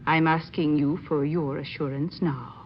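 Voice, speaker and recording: An actress playing a stern, imperious medium in an old-time radio drama, speaking in a formal, commanding manner. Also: female voice, low voice, fairly even intonation, normal speed, creaky. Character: stern, imperious married woman who works as secretive medium. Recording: muffled, noisy old radio recording.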